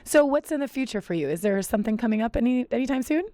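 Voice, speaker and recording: A woman's voice: fairly high pitch, lively intonation, rapid-fire, mellow, no room sound. Brief: female interviewer, upbeat questions, dry close sound. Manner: upbeat, encouraging, many short backchannels. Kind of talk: on-set interview.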